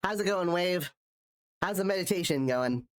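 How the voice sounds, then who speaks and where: A man's voice: high voice, expressive, moderate pace, a bit bright, clear, resonant tone. A man live-streaming while drawing, chatting casually and rambling, restarting his sentences, sounding nasal from a cold. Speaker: streamer and artist.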